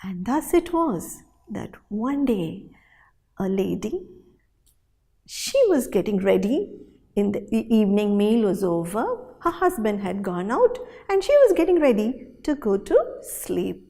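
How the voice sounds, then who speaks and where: A woman storyteller telling a folktale in a dramatic, animated way, with deliberate pauses and repetition. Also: mid-range voice. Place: hall at a storytelling festival. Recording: reverberant hall sound.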